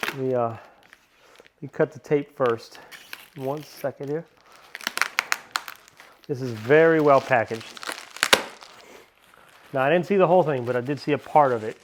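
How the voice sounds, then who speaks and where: A man chatting through a casual car-parts unboxing, excitable and rambling, still a little sniffly from a cold. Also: mid-range voice, unhurried, pauses often, background noise. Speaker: car vlogger.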